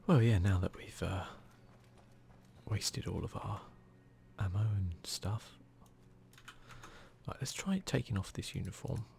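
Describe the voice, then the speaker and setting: Male ASMR gaming host: low register, gravelly fry, soft-spoken to whispered, dry understated humour. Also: takes it slowly, pauses often, slight background noise. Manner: dry, understated, mildly sweary. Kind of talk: ASMR video game commentary, soft-spoken, often whispering.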